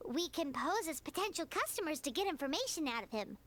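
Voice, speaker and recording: A woman voicing a tiny, bubbly, outspoken fairy companion with a squeaky, childlike voice in a video game. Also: big pitch swings, a little fast, fairly bright tone. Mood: chirpy.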